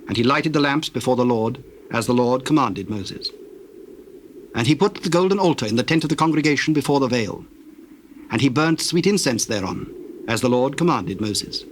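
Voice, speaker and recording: A man narrating dramatized scripture in a solemn, measured and deliberate way. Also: mid-range voice. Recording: faint noise under the voice.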